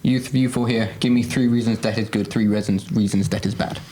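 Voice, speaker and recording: A man's voice: on the low side, steady tone, fairly quick, dark tone. A young man discussing casually with others as a podcast panelist. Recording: echoey room, faint noise.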